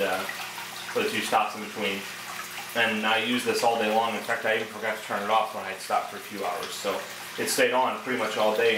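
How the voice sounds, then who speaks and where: A man giving a casual product demonstration and review, explaining things step by step in a conversational way. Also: lowish voice. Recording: echoey, distant mic, clear background noise.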